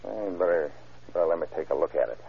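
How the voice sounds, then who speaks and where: An actor playing a gruff, wisecracking policeman, hard-boiled and halting, in a 1940s style radio crime drama. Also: very deep voice, lively intonation, background noise, full character voice. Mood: probing. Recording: tinny, muffled old radio recording.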